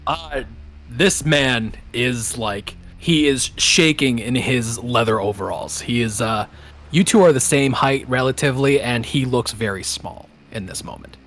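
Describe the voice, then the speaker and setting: A man's voice: mid-range voice, unhurried, a bit bright. A man running a tabletop game as game master, casually narrating scenes and calling for rolls.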